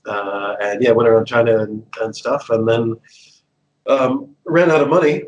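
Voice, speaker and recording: A man's voice: medium pitch, fairly even intonation, moderate pace, dull audio. A man in his fifties from the United States, a guest telling his life story in a casual interview with fillers, restarts and frequent laughter. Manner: chatty, laughs often, many fillers and restarts.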